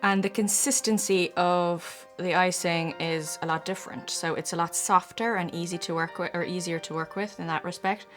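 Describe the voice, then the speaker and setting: Woman, low calm voice. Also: normal speed, hardly any pauses, soft background music. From South Africa. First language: Afrikaans. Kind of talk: documentary interview.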